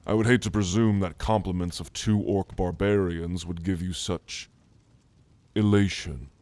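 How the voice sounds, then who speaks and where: Guy doing a big gentle nerdy orc mage, really deep and slow, bashful soft asmr talk, super dry close sound. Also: steady tone, no echo. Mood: gentle, coaxing. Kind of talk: ASMR roleplay.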